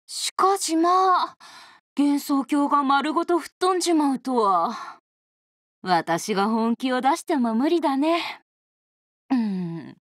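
Swaggering oni brawler, high and lively. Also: female voice, slow pace, no echo, studio quality. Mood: cocky, musing. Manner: swaggering, rough tomboyish speech. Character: bold, hard-drinking female oni brawler, cocky and easygoing. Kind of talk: video game character voice lines.

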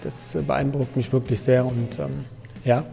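A man in his thirties from Germany, a guest in an interview, talking casually with many fillers and pauses. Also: mid-range voice, flat delivery, vocal fry, dark tone, breathy edge, big-room sound, soft background music. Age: 30s. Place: photo studio with grey backdrop.

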